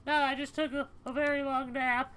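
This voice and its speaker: A woman's voice: sits high, little pitch movement, moderate pace, fairly bright tone, a little hiss or hum. A woman voicing a woozy, wry young female cat in a video game, with hesitant slacker phrasing. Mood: groggy, rambling.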